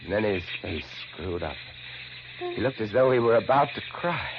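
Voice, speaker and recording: An actor playing a haunted lighthouse keeper, narrating horror in a dramatic, deliberate, hushed style for a 1950s radio drama. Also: male voice, on the low side, animated, background noise, natural voice. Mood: alarmed. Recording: muffled old radio broadcast, loud music.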